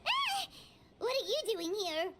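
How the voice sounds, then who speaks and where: A woman voicing a tiny childlike fairy companion in a video game, perky, cheeky and nosy, with a squeaky voice. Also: huge pitch swings, a little slow, heavy background noise, full character voice. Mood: surprised, nosy. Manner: speaks of self in third person, blunt. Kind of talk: video game character dialogue. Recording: game music behind voice.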